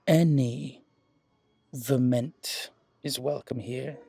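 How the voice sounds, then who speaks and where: A man voices a genial, effusive museum curator for a roleplay audio. The voice is gravelly and husky, courteous and welcoming, recorded close and dry. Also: mid-range voice, fairly even intonation, very slow, dark tone, no room sound, soft background music, exaggerated voice. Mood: warm, welcoming. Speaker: voice actor. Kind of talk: roleplay audio drama acting.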